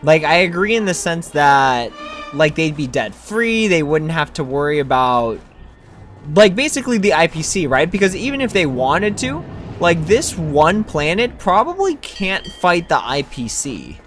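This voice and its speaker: A male game streamer with a somewhat high voice chats casually about what he plays. His intonation swings around a lot, and game music plays behind him. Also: sing-song, measured pace, a bit bright. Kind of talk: casual gameplay commentary.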